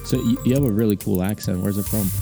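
Playful man with a mellow voice. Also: fairly low pitch, quick pace, gravelly, soft background music. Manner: playful, curious. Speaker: interviewer and ad reader. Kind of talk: casual interview and ad read.

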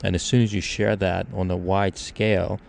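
A gravelly, somewhat low-voiced man from Ukraine hosts a casual discussion. He talks things through conversationally and leans on everyday examples to make his points. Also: flat delivery, moderate pace, darkish tone, slight background noise. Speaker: podcast host.

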